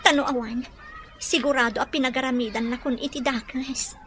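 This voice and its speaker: A woman's voice: high-pitched, fairly even intonation, a little fast, noisy background, natural voice. An actress with a Filipino accent playing a wounded, trusting young woman in love in a radio drama. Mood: hurt, gentle.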